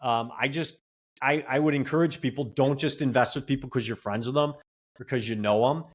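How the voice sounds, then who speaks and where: An earnest man talks through his experience in long, run-on stretches at a mid-range pitch. He offers advice and lessons plainly, in the easy flow of a casual podcast interview. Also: fairly quick. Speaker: real estate investor and coach.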